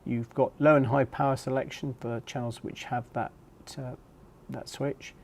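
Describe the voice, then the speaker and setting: A man's voice: medium pitch, level tone, normal speed, creaky, a little mellow, husky. A man in his fifties explaining products in an informal, matter-of-fact overview, as a presenter.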